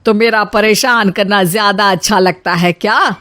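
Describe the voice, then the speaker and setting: A woman's voice: mid-range voice, fairly even intonation, moderate pace, fairly bright tone, natural voice. A woman playing a quarrelsome middle-aged Indian mother-in-law in a dramatized moral story, with a theatrical, complaining delivery. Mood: softened.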